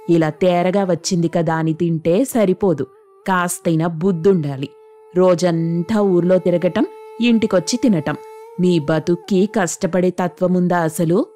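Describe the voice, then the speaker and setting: A woman's voice: on the low side, lightly acted. A woman playing a greedy, nagging middle-aged mother-in-law who scolds and demands in a cartoon moral story. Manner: scolding, demanding, sharp-tongued. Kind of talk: dramatic Telugu moral story cartoon dialogue.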